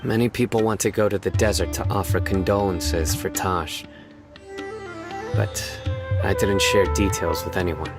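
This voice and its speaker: An actor voicing a stern, reserved young lawman in a video game, speaking in a solemn, measured way. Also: deep voice, fairly even intonation. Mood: earnest, reflective. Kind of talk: scripted video game character dialogue. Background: music.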